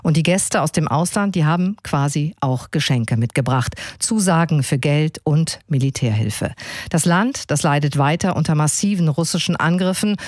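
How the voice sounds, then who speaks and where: A woman's voice: low-pitched, moderate pace, a little mellow, no echo. A woman presenting radio news in a scripted but conversational style. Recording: dry studio sound.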